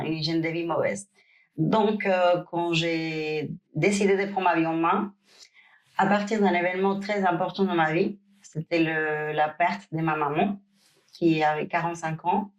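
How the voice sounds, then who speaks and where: Woman. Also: fairly low pitch. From Cuba. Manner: hesitant, many fillers and restarts. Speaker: guest, pro bikini athlete. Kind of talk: podcast interview.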